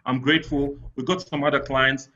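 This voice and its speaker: A man's voice: mid-range voice, level tone, brisk, bright, forceful tone, slight background noise. A man from Cameroon, an entrepreneur, telling frank stories on a webinar panel and repeating phrases for emphasis. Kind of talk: candid storytelling on a webinar panel. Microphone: video call. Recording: dull lo-fi video call audio.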